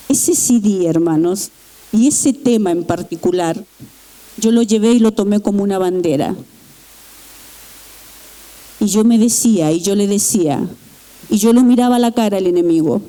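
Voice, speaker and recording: A middle-aged woman from Chile, giving an earnest, halting personal testimony in church with pauses and restarts. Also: mid-range voice, dark tone, low-quality recording. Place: church sanctuary.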